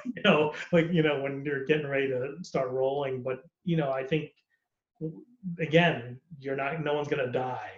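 A man's voice: mid-range voice, fairly even intonation, moderate pace, echoey room, muffled recording. A man, an actor, talking candidly and casually on a podcast, rambling and laughing often. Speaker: actor guest.

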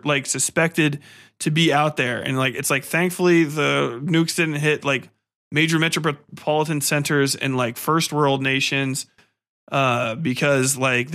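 A man's voice: mid-range voice, fairly bright tone. A man hosting a solo podcast review, ranting casually with heavy swearing, slang and exasperated sarcasm. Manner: profane, irreverent, slangy. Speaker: podcast host reviewing a film.

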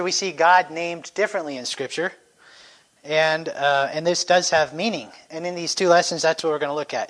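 A man's voice: fairly high pitch, a bit bright. Man teaching a Sunday school class. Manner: conversational, halting with fillers and self-corrections. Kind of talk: church class lesson. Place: church classroom. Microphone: handheld or stand microphone.

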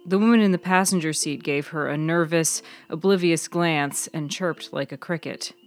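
A woman's voice: deep voice, normal speed, faint music behind. A woman reading scripted fiction as the author and narrator of a serial story.

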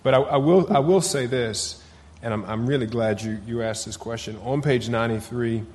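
A man answering council members at a public meeting, measured and deferential. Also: medium pitch, darkish tone, in a large hall. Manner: measured, deferential, says yes ma'am. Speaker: city official answering council. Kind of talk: formal testimony at a public meeting.

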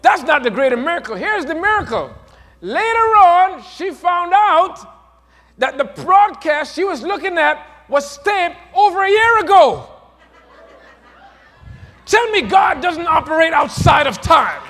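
A man preaching a sermon, moving from quiet, low passages to loud, emphatic peaks. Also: sing-song, measured pace, big-room sound. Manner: swings from soft to shouted, rising to emphatic peaks.

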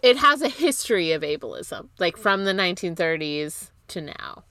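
A woman's voice: on the low side, big pitch swings, normal speed, over a noisy crowd. A woman co-hosting a podcast in casual film chat, blunt and informal, with casual swearing. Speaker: podcast co-host.

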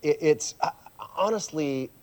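A middle-aged man, a pastor, chatting in a casual interview in a church coffee shop, earnest when he explains and quick to laugh. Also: a little high, expressive. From USA.

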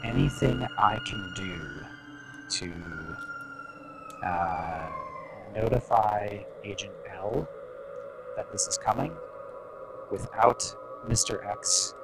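A young man playing a tabletop game, thoughtfully planning his moves out loud with fillers. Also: on the low side, huge pitch swings, extremely slow, long pauses, music in the background. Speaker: player.

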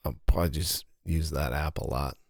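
A man speaking as a pastor in a casual podcast chat, with a deadpan, joking manner. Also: very deep voice, normal speed, breathy edge, dry recording, studio quality.

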